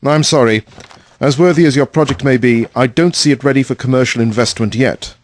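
A man's voice: medium pitch, fairly quick, close to an everyday voice. A man playing a blunt, dismissive, hard-nosed investor in an audio drama, curt and sardonic in manner. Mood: skeptical, brisk.

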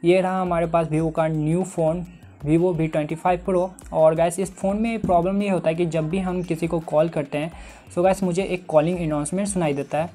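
Young man, Indian accent, mellow tone, step-by-step tutorial host, lapel mic, speaks straight to viewers. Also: on the high side, steady tone, a little fast. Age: 20s.

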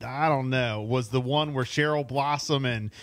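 A man's voice: medium pitch, normal speed, a bit bright. A middle-aged man hosting a casual morning radio interview in a chatty, informal, effusive way on a studio mic. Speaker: radio host. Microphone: studio mic on boom arm, headset.

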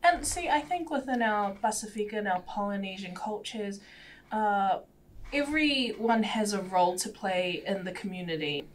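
A woman's voice: mid-range voice, expressive, normal speed. A woman from New Zealand hosting a podcast in a small studio, supportive and sharing personal reflections. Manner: supportive, frequent soft agreement sounds, personal reflection. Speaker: podcast host. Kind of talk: casual studio conversation. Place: small studio with plants and shelves. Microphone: podcast mic on a boom arm.

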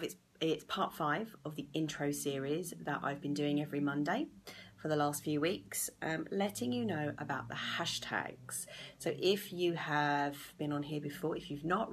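Croaky low-voiced woman on a live stream. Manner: chatty and encouraging, greets viewers by name. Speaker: anxiety coach hosting a live video. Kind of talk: casual live-stream talk to viewers. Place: small indoor room at home. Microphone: phone or laptop camera at arm's length.

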